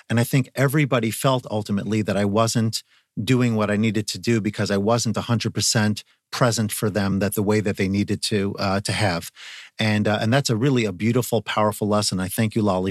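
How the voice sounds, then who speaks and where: A man's voice: fairly low pitch, no room sound, clean studio sound. A middle-aged man hosting an interview podcast, encouraging and speaking with frequent fillers. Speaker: podcast host.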